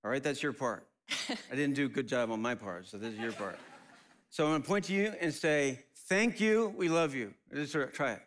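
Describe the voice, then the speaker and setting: A man's voice: mid-range voice, lively intonation, normal speed, fairly bright tone. A man hosting a live church service, upbeat and rallying the crowd. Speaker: church host.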